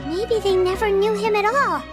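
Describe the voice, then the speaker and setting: A woman voicing a small, excitable, childlike fairy companion girl in a video game, with a chirpy, exclamatory manner. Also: extremely high pitch, normal speed, loud music behind the voice. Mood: startled, inquisitive. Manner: refers to self in third person, exclamatory, chirpy.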